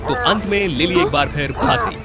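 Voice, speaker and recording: A man's voice: on the high side, moderate pace, over a noisy crowd. A man narrating a scripted fairy tale as a storyteller. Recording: loud music bed under the voice. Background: music.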